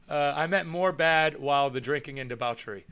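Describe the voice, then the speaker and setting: A young man chats loosely on a live stream and answers viewers as he goes. His voice is bright and forceful. He rambles, repeats himself and chuckles often. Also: fairly high pitch, creaky. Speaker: live show host and pro wrestler. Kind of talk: casual live-stream chat reading viewer comments.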